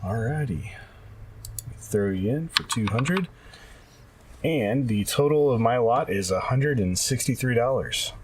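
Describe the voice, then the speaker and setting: A man's voice: mid-range voice, expressive, measured pace, long pauses, slight background noise. A man co-hosting a podcast, talking in a casual, conversational way. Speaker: podcast co-host. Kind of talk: casual podcast chat about retro games.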